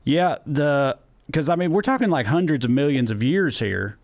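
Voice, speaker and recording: A man's voice: fairly high pitch, lively intonation, moderate pace, no room sound. Southern US man in dry, close sound. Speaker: comedy podcast co-host. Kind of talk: casual comic chat.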